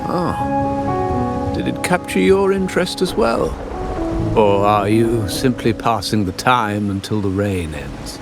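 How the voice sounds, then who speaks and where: An actor playing a courteous, cultured old gentleman in a video game, musing in formal, genteel phrases. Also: on the low side, animated, slow, vocal fry, over loud music, heavily acted. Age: older.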